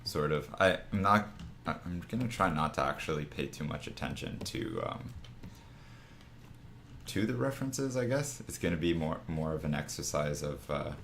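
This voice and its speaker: Raspy, very deep-voiced man, groggy. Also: lively intonation, normal speed, fairly bright tone, slight background noise. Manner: rambling, full of restarts and fillers. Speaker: art streamer teaching figure design. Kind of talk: casual live-stream talk while drawing.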